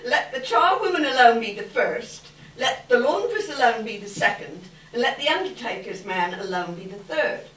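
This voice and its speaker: A woman playing a brazen, gleeful Victorian charwoman in readers theater, loud and boisterous. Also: on the high side, animated, moderate pace. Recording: echoey hall, distant mic, faint noise.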